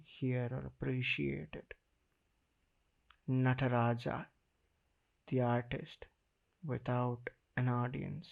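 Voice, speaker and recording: A man performing a slam poem in the first person, dramatic and deliberate, with weighty pauses between short phrases. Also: mid-range voice, gravelly, narrow, tinny audio.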